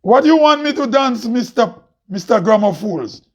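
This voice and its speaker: A man from Grenada with a Grenadian accent and a loud, heavy voice, hosting an impassioned monologue full of rhetorical questions. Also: extremely high pitch, expressive, normal speed. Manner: preachy, rhetorical questions, laughs often. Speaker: host. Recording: dull lo-fi recording.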